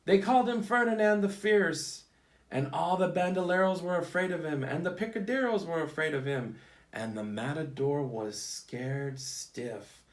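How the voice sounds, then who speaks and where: A man with a slightly high voice reads a children's storybook aloud in a roomy, echoing space. He phrases things simply, like a teacher guiding young listeners through the pictures. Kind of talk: picture-book read-aloud with questions to children. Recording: echoey, roomy sound.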